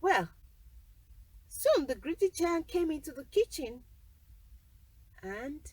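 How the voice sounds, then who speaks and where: A woman narrating a children's bedtime story deliberately, pausing between short phrases, recorded a little away from the microphone. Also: high voice, big pitch swings, darkish tone, no echo, far from the mic.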